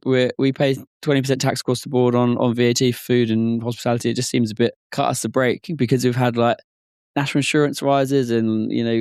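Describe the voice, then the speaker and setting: A man co-hosting a podcast in casual chat, his voice hoarse and croaky, rambling with many fillers. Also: medium pitch, darkish tone, no echo, studio quality. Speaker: podcast co-host.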